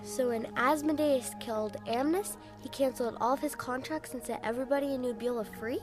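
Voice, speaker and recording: A woman's voice: sits high, fairly quick, over music, lightly acted. A woman playing an eager, wide-eyed, inquisitive child listening to a story in an audio drama. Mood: amazed. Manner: exclaiming, inquisitive, earnest.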